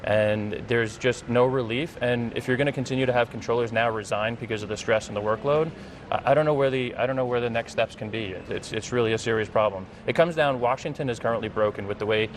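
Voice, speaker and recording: A man's voice: medium pitch, quick pace, a little hiss or hum. A middle-aged man with an American accent answering earnestly as a guest in a news interview, repeating phrases for emphasis. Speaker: interview guest, congressional candidate and former air traffic controller.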